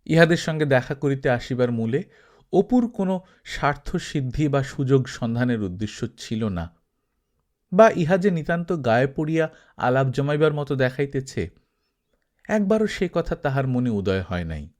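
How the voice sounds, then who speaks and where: A man narrates literary fiction at a mid-range pitch. His delivery is measured and expressive, suited to formal, classic prose read as an audiobook.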